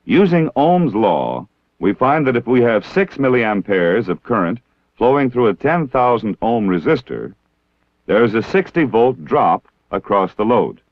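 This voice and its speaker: An American man narrating a scripted training film in a formal, measured, precisely enunciated way. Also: medium pitch, sing-song, no echo. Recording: dull 1960s film soundtrack.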